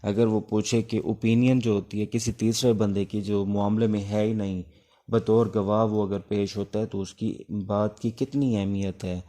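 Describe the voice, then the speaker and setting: A man with a Pakistani accent giving an informal exam-prep law lecture, explaining things step by step with hesitant pauses. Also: lowish voice, warm tone. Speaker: law teacher. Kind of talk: informal exam-prep lecture in Urdu mixed with English legal terms.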